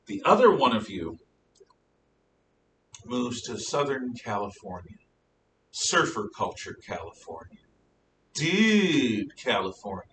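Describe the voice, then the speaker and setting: A man's voice: big pitch swings, slow, long pauses, fairly bright tone. An older man with an American accent from the northeastern United States, giving an emphatic classroom lecture as a history teacher. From northeastern United States, around New York City and New England. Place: classroom, indoors. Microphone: distant camera at back of room. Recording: roomy, distant microphone, noticeable hiss and rumble.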